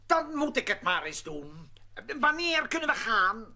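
Stuffy mayor for a children's audio story: slow, formal, with swooping intonation, music behind. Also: medium pitch, sing-song, bright, forceful tone, heavily acted. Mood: stiff, grudging. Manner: formal, grumbling, deliberate. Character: stiff, stuffy, joyless village mayor, slowly softening. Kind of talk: children's audio play acting.

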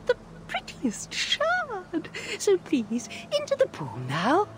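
Deranged fairy devotee: sugary, sing-song, very high game voice, faint noise behind. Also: female voice, huge pitch swings, slow, heavily acted. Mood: sweetly menacing. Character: sweetly deranged, fairy-obsessed woman performing sacrifices. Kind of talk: video game cutscene acting.

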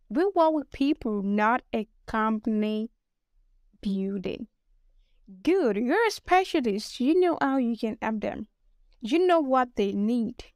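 A Nigerian woman with a Nigerian accent, a business English coach talking alone on her podcast, spelling out words slowly. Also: a little high, clear, resonant tone, no echo, clean studio sound. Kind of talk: solo podcast monologue.

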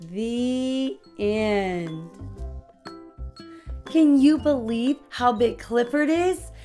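A woman's voice: a little high, extremely slow, soft background music. An American woman in her thirties hosting a children's read-aloud, telling a storybook expressively to young kids. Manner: expressive, clearly paced storytelling for small children.

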